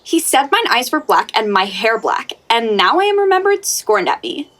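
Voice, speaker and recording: A proud young shepherdess played by an actress with a high voice. She speaks in declamatory Shakespearean radio style, haughty, disdainful and petulant. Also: big pitch swings, normal speed, fairly bright tone. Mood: petulant, smitten. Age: young adult. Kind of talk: Shakespearean radio play acting.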